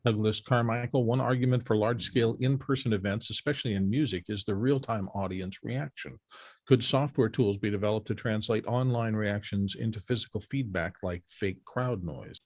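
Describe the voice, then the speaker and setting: A man reading viewer questions aloud to a panel in a plain, steady way. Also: lowish voice, normal speed, dry recording, studio quality.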